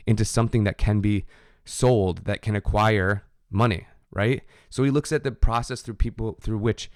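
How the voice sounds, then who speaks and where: A man's voice: fairly low pitch, a little mellow, no room sound, studio quality. A man teaching a sociology class in a conversational lecture, often checking in with "right?". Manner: conversational, frequent "right?" tag questions. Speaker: sociology instructor. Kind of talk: recorded lecture.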